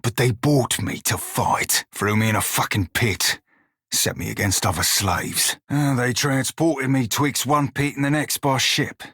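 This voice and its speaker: An actor playing a gruff, wry pirate shiphunter in a video game, with a rough-edged voice and folksy sailor dialect. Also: male voice, mid-range voice, a little slow, a bit bright, no echo, studio quality. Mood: somber, bitter. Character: salty blue-furred pirate shiphunter, former slave, gruff and wry. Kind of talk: video game character dialogue.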